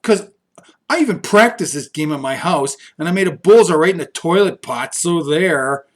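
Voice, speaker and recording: A man's voice: fairly high pitch, expressive, normal speed. A man voicing a sassy, grouchy, defiant young schoolgirl from a storybook, with blunt, indignant childish phrasing.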